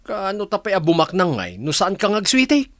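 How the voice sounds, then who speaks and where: A man's voice: high-pitched, huge pitch swings, brisk, fairly bright tone, no room sound, studio quality, exaggerated voice. A man playing a sly, agreeable old village husband with a Filipino accent in a comedy radio drama. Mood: teasing.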